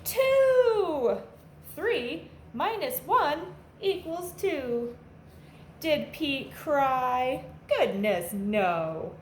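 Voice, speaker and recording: A woman reading aloud to young children like a teacher, in an animated way with simple phrasing addressed straight to them. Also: sits high. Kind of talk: children's read-aloud, with sung refrains and asides to listeners. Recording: echoey room with faint background noise.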